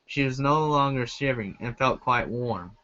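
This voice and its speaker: Bright-voiced man reading aloud, lo-fi recording. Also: mid-range voice, expressive, normal speed. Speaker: amateur fan fiction reader. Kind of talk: reading a story aloud, with casual asides. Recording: dull lo-fi home recording.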